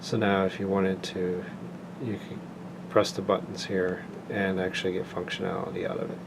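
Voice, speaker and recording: A man's voice: low voice, normal speed. A man giving a casual, plain-spoken product demonstration in an informal walkthrough style. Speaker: product reviewer. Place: indoors, home tabletop recording. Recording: clear steady air-conditioning room noise.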